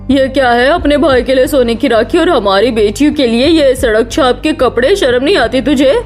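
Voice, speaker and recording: A woman's voice: sits high, normal speed, soft background music, lightly acted. A woman playing an older, quarrelsome, nosy aunt in a cartoon family melodrama, sarcastic and grumbling. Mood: outraged.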